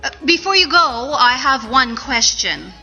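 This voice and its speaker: An actress playing an imperious, literal-minded widow in a comic radio play. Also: female voice, high-pitched, lively intonation, a little slow, bright, forceful tone, slightly rough, dull audio, full character voice. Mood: inquisitive. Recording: echoey event hall, music behind.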